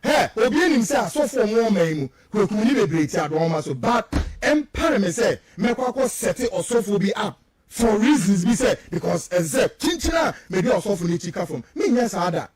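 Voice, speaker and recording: A man's voice: sits high, very animated, moderate pace, darkish tone, husky. A man with a Ghanaian accent giving heated, indignant political commentary on radio, mixing languages and repeating words for emphasis. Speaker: radio show guest commentator.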